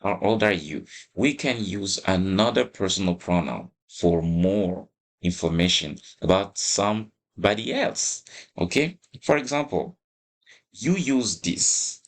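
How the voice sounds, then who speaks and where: A man's voice: sits low, slow. A man giving an instructional English lesson, explaining emphatically with plenty of repetition and frequent check-in questions. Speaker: English teacher.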